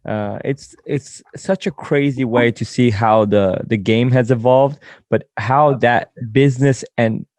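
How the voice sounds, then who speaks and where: A man's voice: mid-range voice, steady tone, measured pace, a little mellow, no room sound. A man hosting a podcast, asking questions with enthusiastic, repeated emphasis. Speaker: podcast host. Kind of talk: interview questions.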